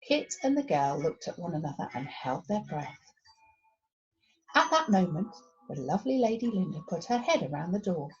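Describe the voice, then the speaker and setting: A woman reading a children's storybook aloud as expressive narration. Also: fairly low pitch, moderate pace, lo-fi sound, faint music behind. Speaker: primary school story reader.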